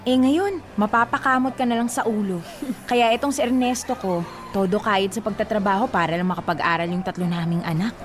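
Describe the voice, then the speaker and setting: Woman doing nosy feisty married neighbor, radio drama, chatty n blunt, tough and protective, mid pitch. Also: a little fast, faint music behind, natural voice. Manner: chatty, emphatic, outspoken. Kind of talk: Filipino radio drama acting.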